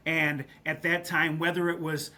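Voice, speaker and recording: A man from western New York recounts his early career as a podcast guest in a candid, anecdotal way. His voice sits a little higher than most men's, and he keeps tossing in quick "right?" checks as he talks. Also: flat delivery, sharp tone. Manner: candid, anecdotal storytelling with frequent "right?" asides. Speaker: guest, real estate business leader. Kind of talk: podcast interview storytelling.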